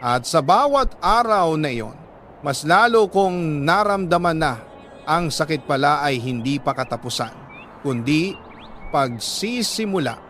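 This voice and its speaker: Male radio storyteller, sentimental dramatic read, unhurried with long pauses, slight background noise. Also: a little high, expressive, fairly bright tone. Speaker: radio storyteller reading a listener's letter. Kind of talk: dramatic scripted narration of a love story.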